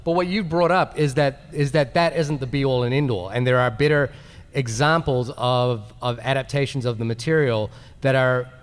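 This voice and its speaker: Halting male panelist in a big echoey hall. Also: medium pitch, fairly even intonation. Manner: halting, repeats words as he thinks. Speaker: panelist on a film podcast. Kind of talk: live panel discussion, casual. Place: large convention panel room. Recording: echoey hall PA, live recording.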